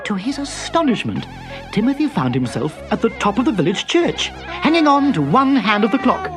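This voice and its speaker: A man telling a children's story with a sing-song, lively voice that swoops up and down. Music plays clearly behind him throughout. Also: high-pitched, normal speed. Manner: lively, expressive narration. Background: background music.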